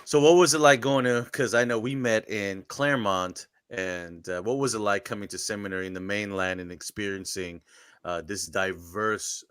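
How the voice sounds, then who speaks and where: A man hosting a casual interview, asking long questions slowly and carefully. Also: low voice, a bit bright, smooth, dry recording, studio quality. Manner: slow, searching questions. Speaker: interviewer, pastor. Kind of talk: conversational interview.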